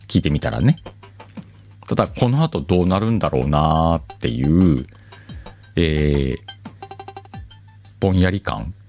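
Low-voiced, lively man. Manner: chatty, self-deprecating, joking. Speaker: podcast host. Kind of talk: casual chat.

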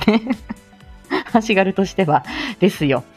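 Giggly playful lady chatting on her live stream, really animated, soft music behind. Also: medium pitch, slow. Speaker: live audio stream host. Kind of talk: casual live chat and announcements.

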